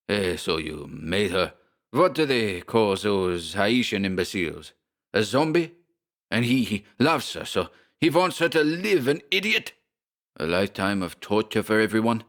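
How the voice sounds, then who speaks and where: A man's voice: on the high side, bright, forceful tone, pitched above the performer's own voice, exaggerated voice. A man voicing a furious little German scientist with a German accent and a shrieking, explosive delivery.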